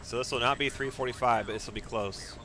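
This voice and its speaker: A man's voice: mid-range voice, fairly even intonation, fast, sharp tone. A man giving live speedrun commentary as he plays, narrating his own moves, repeating phrases and using mild exclamations instead of swear words. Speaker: video game streamer and speedrunner.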